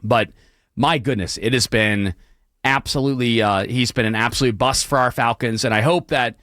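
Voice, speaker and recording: A hoarse young man hosting a late-night talk radio show, apologizing and clearing his throat as his voice gives out. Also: medium pitch, moderate pace, a bit bright, no room sound, studio quality. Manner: apologetic, clears throat often, many restarts. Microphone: studio broadcast mic.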